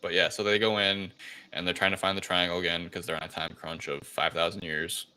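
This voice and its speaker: A man's voice: low voice, flat delivery, fairly quick, bright, forceful tone, a little hiss or hum. A man hosting a podcast, recapping a film in a casual, loosely worded, rambling way.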